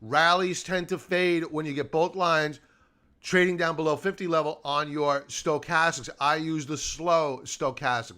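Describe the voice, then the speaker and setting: Bright, forceful man giving live trading commentary. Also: fairly high pitch. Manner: emphatic, repeats key points for stress. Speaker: stock trading show host and technical analyst. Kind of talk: live market commentary over charts.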